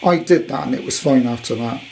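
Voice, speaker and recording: A man's voice: medium pitch, a little fast, creaky, darkish tone, a little hiss or hum, low-quality recording. A British man in his sixties giving a casual, rambling, unscripted show-and-tell as a hobby collector. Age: 60s. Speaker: radio collector presenting his item.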